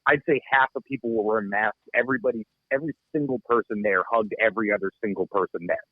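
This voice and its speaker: Blunt low-voiced man on a tinny line. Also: no room sound. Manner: blunt, swears freely, drawn-out tellings. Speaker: podcast co-host. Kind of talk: casual chat. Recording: tinny phone-like sound, dry.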